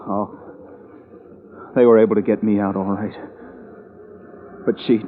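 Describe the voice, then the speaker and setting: An actor playing a proud, anguished husband with an intense, pleading manner, in the style of a 1940s radio thriller. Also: male voice, on the low side, level tone, a little slow, a little hiss or hum, natural voice. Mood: grieving. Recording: tinny old radio recording, music behind.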